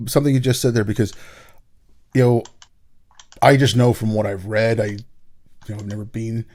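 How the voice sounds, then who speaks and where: A man's voice: on the low side, little pitch movement, moderate pace, a little mellow. A man hosting a podcast interview, talking in a loose, casual way with lots of fillers. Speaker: podcast host.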